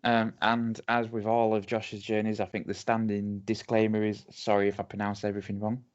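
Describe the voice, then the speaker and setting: A man's voice: fairly low pitch, completely flat delivery, brisk, clean tone. A man with an English accent, co-hosting a podcast and telling a distillery's story in a rambling, chatty way. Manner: chatty, rambling with many ums and restarts. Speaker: podcast co-host. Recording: dull lo-fi audio.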